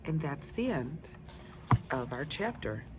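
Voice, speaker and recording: A woman storyteller narrating a children's book aloud in an expressive style. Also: sits low, slow. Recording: faint background noise.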